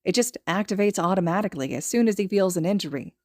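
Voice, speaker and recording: A woman's voice: fairly low pitch, lively intonation, fast talker, no echo, clean studio sound. A woman reading a story aloud as scripted audiobook narration. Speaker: podfic narrator.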